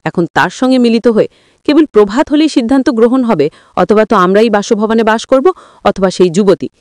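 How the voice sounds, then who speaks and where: A woman's voice: a little high, brisk, darkish tone, no room sound, pitched below the performer's own voice, lightly acted. A woman voicing a worried, indignant adult son in a story, confrontational and troubled.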